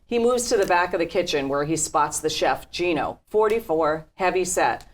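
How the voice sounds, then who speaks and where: A woman narrating a script's stage directions in a deliberate, matter-of-fact way. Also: fairly low pitch, animated.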